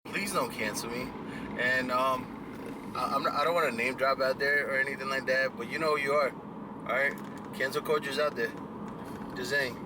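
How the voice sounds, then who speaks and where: A man's voice: mid-range voice, fairly even intonation, normal speed, background noise. A young man from Ecuador hosting a casual podcast from a car, joking and mixing English and Spanish. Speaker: podcast host.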